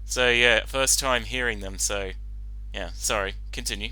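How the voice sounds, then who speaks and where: A man giving casual, unscripted reaction commentary as a fan author, rambling and halting, often saying you know. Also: lowish voice, steady tone, sharp tone, faint music behind, poor audio.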